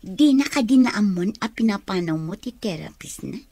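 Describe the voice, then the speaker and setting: A woman's voice: medium pitch, expressive, normal speed, warm tone, no echo. A woman playing a villain's sly sweetheart and accomplice in an Ilocano radio comedy-drama. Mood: sly, delighted.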